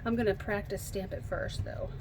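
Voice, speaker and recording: A woman's voice: mid-range voice, steady tone, moderate pace, heavy background noise. Chatty, slightly froggy woman crafting. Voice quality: slightly froggy, hoarse from allergies. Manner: chatty, self-deprecating, thinks aloud while working. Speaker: card-making demonstrator. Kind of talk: casual craft tutorial chat. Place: home craft table, indoors.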